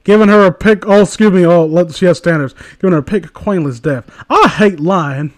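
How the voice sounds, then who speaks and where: Male co-host, high-pitched, foul-mouthed teasing in loose group chat, dry recording. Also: brisk, no echo. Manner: sweary, mocking banter. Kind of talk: casual group chat and commentary on amateur fiction read aloud.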